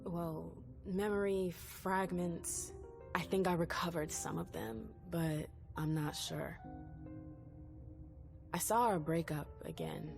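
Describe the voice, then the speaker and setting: A woman's voice: fairly low pitch, steady tone, takes it slowly, gravelly. A woman playing a stubborn, wounded female vampire in a video game, speaking in a cool, skeptical, insistent way. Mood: troubled, hesitant. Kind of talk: video game cutscene dialogue acting. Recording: game music underneath. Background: background music.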